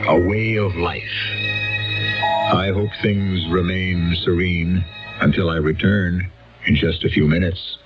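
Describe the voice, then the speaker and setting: A man hosting and narrating a radio drama with scripted, reflective lines and deliberate, dramatic pauses. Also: deep voice, fairly even intonation. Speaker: radio show host and narrator. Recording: dull radio recording with music.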